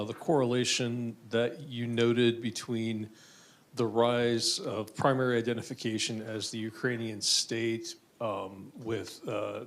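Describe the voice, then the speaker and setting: A man in a lecture audience puts a question to the speaker. His voice is low and gravelly, and he stops and restarts as he hunts for the right words. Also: a bit bright. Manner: halting, searching for words. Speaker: audience member asking a question.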